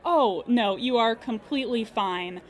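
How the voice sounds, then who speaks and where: A woman plays a diplomatic claims agent in an audio drama. Her voice sits fairly high and she talks slowly, choosing formal words with care. She stays courteous and measured. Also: a faint crowd, close to an everyday voice. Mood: polite, probing. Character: polite, careful woman claims agent at supernatural insurer. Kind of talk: improvised audio drama customer-service call.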